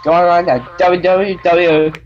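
A man's voice: high voice, level tone, unhurried. A man chatting casually in offhand remarks. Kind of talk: casual chat. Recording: dull lo-fi sound.

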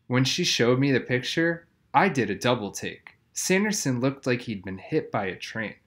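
A man's voice: medium pitch, a bit bright. Man reading a kids' novel aloud. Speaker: audiobook reader.

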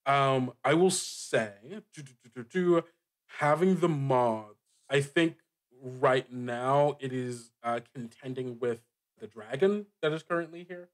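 A man improvising narration as a game master, casual and halting, with lots of filler words. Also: mid-range voice. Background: music bed.

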